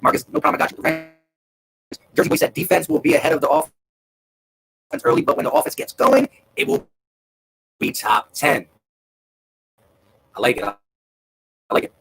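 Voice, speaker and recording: A man hosting a casual live-streamed sports podcast, reading out viewer comments in a relaxed, laid-back way over a video call. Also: very high-pitched, normal speed, gravelly, pauses often, rough recording. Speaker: podcast host reading chat.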